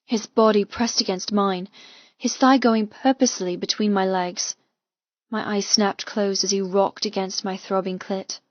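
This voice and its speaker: A woman reading a first-person romance audiobook with intense, expressive, dramatic delivery. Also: mid-range voice, a little slow, no room sound, lo-fi sound. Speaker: audiobook narrator.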